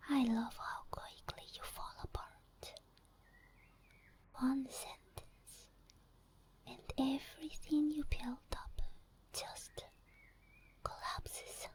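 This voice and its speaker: Casting: a woman as an obsessed female night demon for ASMR, whispery, higher-pitched, teasing with intimate menace. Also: steady tone, heavily acted. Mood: gloating, teasing. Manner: slow, deliberate, intimate taunting with drawn-out pauses.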